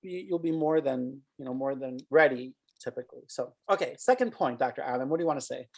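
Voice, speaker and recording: A woman's voice: extremely low pitch, lively intonation, fast talker, husky. A woman acting as an interview coach, asking probing questions and thinking aloud as she guides a mock interview.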